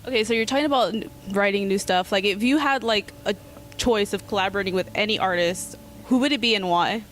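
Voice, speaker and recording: A woman's voice: medium pitch, quick pace, a bit bright, a little hiss or hum. A woman in her twenties hosting a casual music interview, chatty and upbeat with lots of filler words. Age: 20s.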